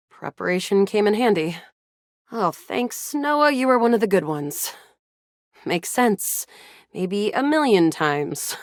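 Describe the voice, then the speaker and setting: A woman voicing a feisty, excitable young resistance fighter in a sci-fi video game, with a dramatic, playful delivery. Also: mid-range voice, no room sound, studio quality. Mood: urgent. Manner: animated, dramatic, playful banter. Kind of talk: video game character voice acting.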